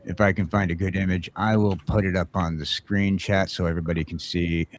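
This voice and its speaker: A man hosting a casual live-streamed geek chat show, talking haltingly with lots of fillers and restarts. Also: low voice, flat delivery. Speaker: podcast host.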